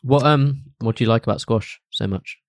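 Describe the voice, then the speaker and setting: A young man hosting a casual chat, relaxed and hesitant, often repeating words. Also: mid-range voice, normal speed, no room sound, studio quality. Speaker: podcast host asking questions.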